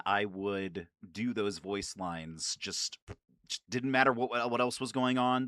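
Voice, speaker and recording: A man's voice: lowish voice, fairly bright tone, dry recording, clean studio sound. A man giving casual, nerdy commentary as a gaming video creator, rambling and swearing with enthusiasm. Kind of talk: casual, nerdy commentary on favorite game lines.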